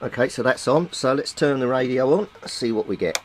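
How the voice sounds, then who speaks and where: A man's voice: medium pitch, animated, a little fast, a little hiss or hum. A man from Britain walking through a radio repair step by step in a plain, methodical way, thinking aloud with small fillers. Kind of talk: technical repair walkthrough.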